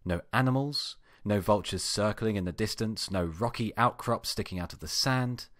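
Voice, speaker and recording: A man giving an amateur dramatic reading of a first-person story, with expressive, acted-out delivery. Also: lowish voice, moderate pace, no echo, clean studio sound. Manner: expressive, acts out exasperated outbursts. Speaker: amateur fan fiction reader.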